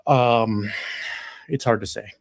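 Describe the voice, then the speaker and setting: A man's voice: medium pitch, slow pace, vocal fry, no echo. An American man co-hosting a political livestream, talking loosely with lots of swearing, ums and self-mocking laughs. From United States. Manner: loose and chatty, swears freely, laughs at himself, many ums. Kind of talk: casual political chat.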